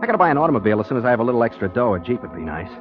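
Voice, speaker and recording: An actor playing an earnest, daydreaming rookie private detective, boyish and wryly self-deprecating, in 1940s radio style. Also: male voice, medium pitch, sing-song, racing, natural voice. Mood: wry, musing. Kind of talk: 1940s radio acting with first-person narration. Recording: dull vintage 1940s radio, music behind.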